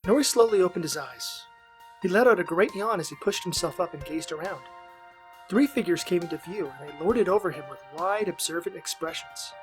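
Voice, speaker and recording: A woman's voice: fairly low pitch, a little fast, rough recording. A woman reading scripted narration for an audio drama. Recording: music behind the voice.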